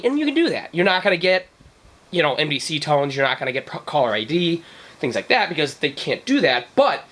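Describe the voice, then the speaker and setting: A man's voice: high-pitched, fairly bright tone. A man giving a casual, unscripted, opinionated explanation in a blunt, emphatic, conversational way with some salty language. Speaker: vlog host, radio hobbyist.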